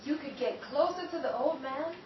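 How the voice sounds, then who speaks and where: A woman's voice: high voice, moderate pace, muffled recording, lightly acted. A woman playing an insistent, commanding in-law in an amateur radio play, speaking in a firm, cutting manner. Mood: firm, impatient. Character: insistent, commanding female in-law of a plotting family. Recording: very echoey, noisy, distant microphone.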